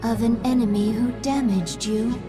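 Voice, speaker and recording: An actress playing a calm, devoted alien advisor creature who speaks in a measured, solemn way in a game cutscene. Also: female voice, a little high, close to an everyday voice. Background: music.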